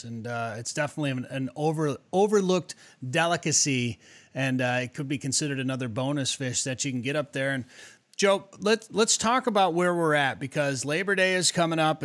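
Higher-pitched man hosting a podcast. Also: fairly bright tone. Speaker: podcast host. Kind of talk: casual podcast interviewing. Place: home studio room indoors. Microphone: studio mic with pop filter, headphones.

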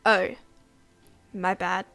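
A woman's voice: fairly low pitch, level tone, extremely slow, vocal fry, a bit bright, no echo, soft background music, full character voice. A woman voice acting a questioning, determined girl on a spaceship in an amateur game roleplay. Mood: curious.

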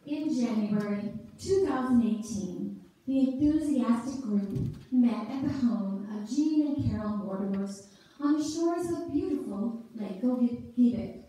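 Unhurried woman narrator in an echoey hall. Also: a little high, animated, warm tone. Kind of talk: scripted narration, live readers theater. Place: church sanctuary, before an audience. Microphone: far camera among the audience. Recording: echoey church hall from far off.

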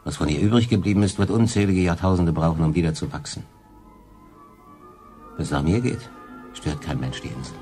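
An actor playing a melancholy human castaway in a sci-fi radio drama, halting and emotional in his delivery. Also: low voice, mellow, soft background music, close to an everyday voice. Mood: grieving, brooding. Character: melancholy, guilt-ridden human castaway man on island.

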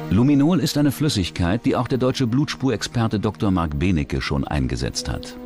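Deep-voiced male true-crime narrator. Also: animated, normal speed, darkish tone. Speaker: documentary narrator. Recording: music under the voice. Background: background music.

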